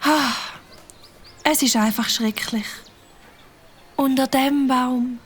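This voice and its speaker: A woman voicing a motherly fox in a cartoon, with a Swiss German accent and a bright, coaxing, sing-song manner. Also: sits high, steady tone, slow pace. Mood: cheerful. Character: warm fox mother, motherly quiz host.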